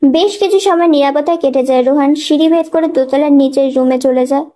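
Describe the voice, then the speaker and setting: A woman's voice: high voice, a little fast, dark tone. A woman reading a scripted romantic story as a dramatic narrator.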